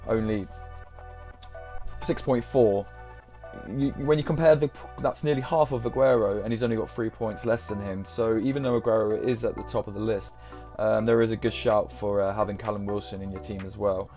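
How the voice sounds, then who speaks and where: A young man, chatting casually on a live stream, slightly croaky from a sore throat, hesitating often with ums and uhs. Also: lowish voice, steady tone, moderate pace, mellow, soft background music. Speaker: fantasy football streamer.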